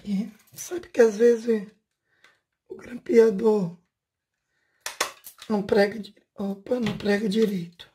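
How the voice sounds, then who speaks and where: A woman's voice: medium pitch, fairly even intonation, takes it slowly, pauses often, dark tone. A woman, casually explaining a home craft step by step while she works, asking the viewer questions as she goes. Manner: chatty, talks while working with her hands, asks the viewer questions. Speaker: craft channel host. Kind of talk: casual hands-on tutorial. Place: home room, indoors.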